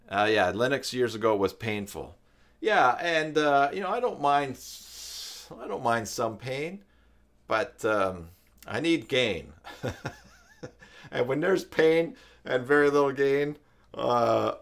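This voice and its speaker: A man's voice: on the low side, fairly bright tone. A middle-aged man hosting a casual live stream, rambling and thinking aloud as he reads and chats with viewers. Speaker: live stream host, self-employed web tutor.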